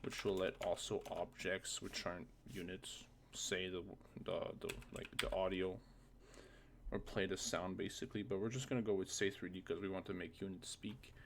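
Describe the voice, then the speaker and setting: A man's voice: low voice, level tone, normal speed, gravelly. A man presenting a casual screen-recorded game modding tutorial, informally thinking aloud with some hesitant repetition. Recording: faint noise, keyboard clicks.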